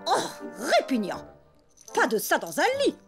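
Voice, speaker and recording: A woman's voice: fairly high pitch, huge pitch swings, measured pace, loud music behind the voice. A woman voicing a prim, bossy, condescending elephant babysitter in a French cartoon. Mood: bossy, fussy. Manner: condescending, fussy, scolding.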